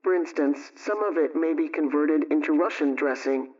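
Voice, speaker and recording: A man presenting a scripted homemaking radio program, reading out advice in a measured, instructional way. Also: fairly high pitch, a little mellow. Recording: muffled, distant radio sound.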